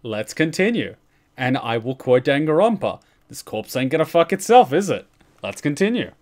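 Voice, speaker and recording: A man's voice: a little high, big pitch swings, moderate pace, a little mellow. A man hosting a reaction stream, giving casual commentary from a room at home.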